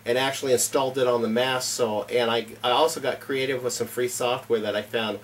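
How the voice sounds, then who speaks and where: A man's voice: medium pitch, fairly even intonation, a little fast, fairly bright tone, far from the mic, slight background noise. A middle-aged man who hosts a homesteading channel, answering interview questions in an earnest, plain manner with frequent "uh" fillers, seated in a home library. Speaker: homesteading YouTube channel host. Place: home library with bookshelves and fireplace.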